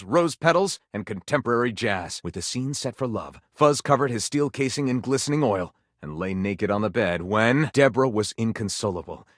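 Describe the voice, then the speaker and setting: A man's voice: medium pitch, dry recording, lightly acted. Guy doing a theatrical pulp detective narrator for a game, tongue in cheek, super swoopy dramatic delivery, very dry sound. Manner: melodramatic, arch, tongue-in-cheek. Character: theatrical storyteller narrating pulpy detective adventures with mock gravity. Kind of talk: dramatic scripted story narration.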